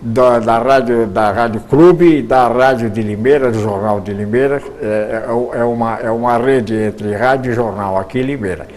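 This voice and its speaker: An older man, a retired radio producer, reminiscing in an interview, with halting speech full of repeated words and restarts. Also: medium pitch, darkish tone.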